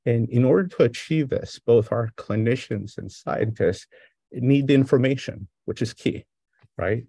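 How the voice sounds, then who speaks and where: A middle-aged man from Greece with a Greek accent, giving a relaxed, conversational lecture over a video call, with frequent fillers. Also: fairly low pitch, vocal fry, husky, no room sound. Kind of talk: remote academic lecture. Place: home or office on a video call. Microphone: video call microphone.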